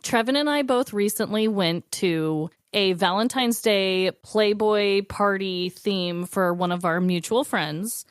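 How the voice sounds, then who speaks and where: A woman's voice: medium pitch, slow pace, hardly any pauses, smooth, no echo, studio quality. A woman in her thirties hosting a podcast, telling stories casually and candidly for laughs. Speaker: podcast host. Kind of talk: casual comedic storytelling.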